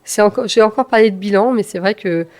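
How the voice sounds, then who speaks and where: A woman in her thirties tells personal stories conversationally as an interview guest, with halting phrasing and repeated words. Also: mid-range voice, a little mellow. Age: 30s. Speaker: podcast guest, engineer and manager.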